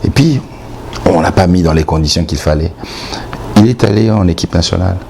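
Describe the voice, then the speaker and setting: A Congolese-accented man speaks in a very low, gravelly voice with a dark, mellow tone. He is blunt and emphatic as an interviewee, hammering his points by repetition. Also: a little fast, slight background noise. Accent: Congolese French. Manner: blunt, outspoken, emphatic, repeats points for stress. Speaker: sports commentator being interviewed. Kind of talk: opinionated interview talk.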